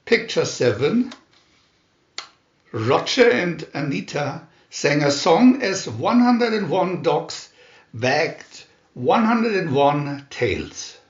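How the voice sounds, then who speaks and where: Slow, lively man reading a storybook. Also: a little high. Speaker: storybook narrator. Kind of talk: scripted children's story reading. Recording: roomy, echoey, dull sound.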